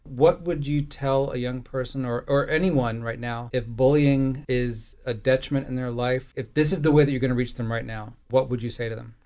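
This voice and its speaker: A male interviewer with a mid-pitched voice and little melodic movement. He phrases his questions formally, in a measured, articulate manner. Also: steady tone, darkish tone.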